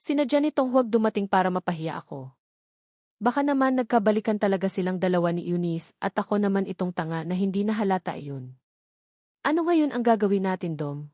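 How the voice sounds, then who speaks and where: A woman's voice: medium pitch, animated, fast, mellow, dry recording, clean studio sound. A woman with a Filipino accent reading a dramatic first-person novel as an audiobook narrator.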